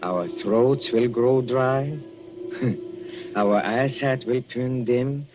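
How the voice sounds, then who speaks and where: An actor playing a jealous husband in an old radio suspense drama, sardonic and hesitant, with mock affection and menace. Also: male voice, medium pitch, extremely slow. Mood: gloating menace. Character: jealous, menacing husband, coolly mocking as he reveals poisoning. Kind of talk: 1940s radio suspense acting. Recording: noisy muffled old radio recording.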